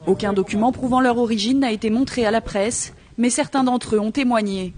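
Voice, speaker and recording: Lady doing news voice-over, steady and crisp. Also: on the high side, fairly quick. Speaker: news reporter. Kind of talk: scripted news narration.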